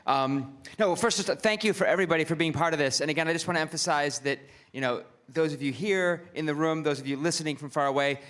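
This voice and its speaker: A man's voice: fairly high pitch, fast talker, hardly any pauses, a bit bright. A man hosting a community radio panel, wrapping up with hesitant, restarting speech. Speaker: host. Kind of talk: casual panel wrap-up.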